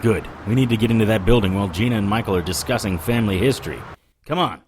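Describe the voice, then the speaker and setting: A man's voice: on the low side, quick pace, a little hiss or hum, natural voice. An actor playing a wry, world-weary occult detective who narrates his own story in a noir-style audio drama. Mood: wry concern. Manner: wisecracking, sardonic. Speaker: lead actor and first-person narrator.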